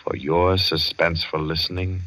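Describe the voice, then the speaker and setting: A man narrating a 1940s radio thriller in a formal, measured, dramatic manner. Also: low-pitched, little pitch movement, sharp tone, low-quality recording. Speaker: announcer and narrator. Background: orchestral music.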